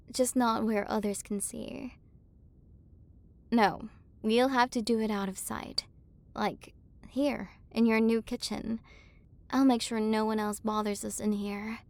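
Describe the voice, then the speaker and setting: Woman acting a high-voiced, proud tsundere warrior queen. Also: vocal fry. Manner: haughty but flustered, softening into intimate murmurs. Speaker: voice actor. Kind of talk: ASMR romantic roleplay acting.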